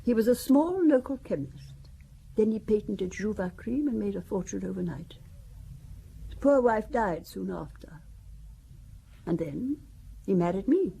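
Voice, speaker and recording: A woman's voice: mid-range voice, lively intonation, unhurried, vocal fry, long pauses, dark tone, husky, heavily acted, low-quality recording. An actress playing a wealthy older widow who talks about her family in a wry, confiding, gossipy way, in a radio drama. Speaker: Valentine Besson.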